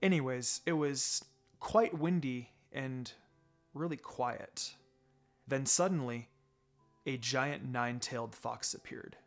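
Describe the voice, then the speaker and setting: A man reading fan fiction as a dramatic first-person narrator, with halting pauses and background music. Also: mid-range voice. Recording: music behind the voice.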